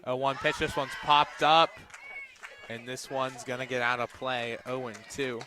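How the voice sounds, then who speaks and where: A man doing live radio play-by-play commentary of a softball game from outdoors at the field. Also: medium pitch, flat delivery, a little slow. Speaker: play-by-play sports announcer.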